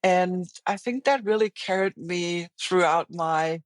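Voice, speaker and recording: A woman's voice: on the low side, no echo. German lady, middle-aged, thoughtful interview guest, dry close mic. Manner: reflective, earnest, speaks in halting restarts. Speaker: guest, finance executive. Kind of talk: interview conversation.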